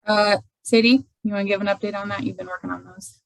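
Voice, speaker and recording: A woman's voice: mid-range voice, steady tone, quick pace, gravelly, rough recording. A woman giving an informal update on a conference call in a casual, tentative manner.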